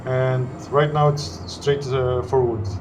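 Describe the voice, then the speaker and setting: A man with a Greek accent, a non-native English speaker, narrating his gameplay in halting sentences full of "uh"s. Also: medium pitch, steady tone, noisy background. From Greece. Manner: halting, searching for words with frequent "uh". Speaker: gameplay commentator. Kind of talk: gameplay commentary.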